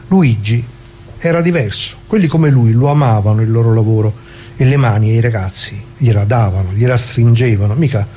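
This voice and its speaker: An actor playing an elderly, plain-spoken working-class man from northern Italy in a conversational monologue, talking haltingly and colloquially straight to listeners. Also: mid-range voice, warm tone, a little hiss or hum, lightly acted. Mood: fond, reminiscing. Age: older.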